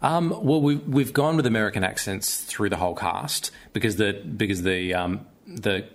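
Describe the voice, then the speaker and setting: A man who acts, talking in a measured, reflective and conversational way as an interview guest. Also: lowish voice, expressive. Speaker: actor being interviewed.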